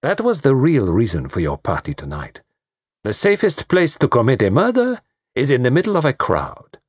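A man voicing a fussy, commanding detective, pompous and precise, in a slightly husky voice. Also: medium pitch, wildly swooping pitch, normal speed, darkish tone, no room sound, studio quality, pitched above the performer's own voice. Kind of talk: audiobook reading.

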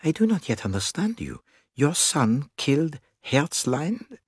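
A man's voice: fairly high pitch, breathy edge, no room sound, clean studio sound, voice pushed up, exaggerated voice. A man playing an elderly detective, courteous, methodical and a little weary, speaking steadily in a story reading. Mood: probing. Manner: steady, measured.

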